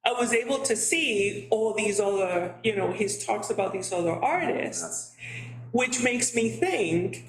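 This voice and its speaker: A woman on a library committee, telling stories and acting out what she said back then. Her voice sits at a middle pitch. The room is echoey and people can be heard around her. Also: measured pace, a crowd in the background. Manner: animated storyteller who acts out her own past remarks. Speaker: committee member. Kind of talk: committee meeting discussion.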